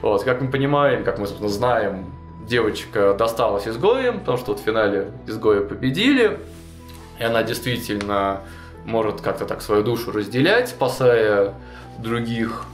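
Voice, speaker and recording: A man's voice: lowish voice, normal speed. A young man in a suit jacket casually telling a long story, full of fillers, seated in a studio. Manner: animated, many fillers like "вот" and "ну". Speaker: storyteller guest. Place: studio with chalkboard wall. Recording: roomy studio with faint music.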